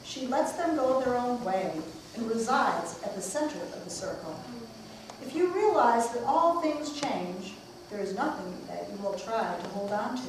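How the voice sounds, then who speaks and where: A woman playing a serene ancient sage who declaims cryptic wisdom in an amateur puppet show. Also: a little high. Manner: declaiming, oracular. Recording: echoey noisy room, far from mic.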